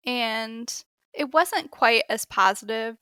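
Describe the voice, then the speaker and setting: A woman's voice: on the high side, slow, pushed and bright, clean tone, no echo, clean studio sound. A woman in her thirties or forties, a podcast co-host chatting casually and animatedly about books she has read. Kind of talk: casual book chat.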